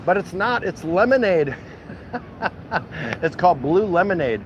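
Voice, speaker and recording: A man with a somewhat high voice and an American accent talks in a loose, colloquial way, like he is livestreaming to regular viewers. He is folksy and jokey, and faint street noise sits behind him outdoors. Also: lively intonation, a little slow, muffled recording. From Milwaukee, United States. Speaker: walking vlog host. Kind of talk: casual livestream chat to viewers. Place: outdoors at a city food truck.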